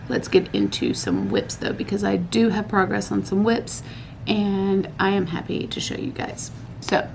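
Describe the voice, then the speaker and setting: A woman, hosting a casual craft podcast from home at night, a bit hoarse after losing her voice, chatty and rambling. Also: mid-range voice, slight background noise. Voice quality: hoarse, recovering from lost voice. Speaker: podcast host. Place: home at night, laundry running.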